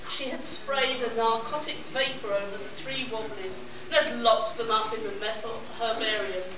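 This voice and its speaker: Female narrator, dramatic scripted storytelling, fairly high voice, noisy live radio play recording. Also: heavy reverb, distant mic. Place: live stage performance at a convention.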